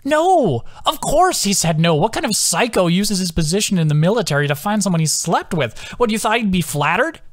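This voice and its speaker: Casting: male reader as cheeky, gleeful soldier spinning a tale, informal and excitable, raised pitch, dry audiobook sound. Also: brisk, few pauses, no room sound. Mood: amused, animated. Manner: laughing, excited, informal, swearing storyteller. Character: gleeful teasing soldier man telling a raucous story.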